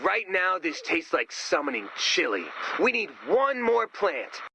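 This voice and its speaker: A goofy video game sidekick with a high, creaky, raspy voice. He is flippant and theatrical, tossing out jokes in a bright, forceful tone. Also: very animated, unhurried, a little hiss or hum, exaggerated voice. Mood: playful. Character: goofy, excitable, deadpan-joking male sidekick.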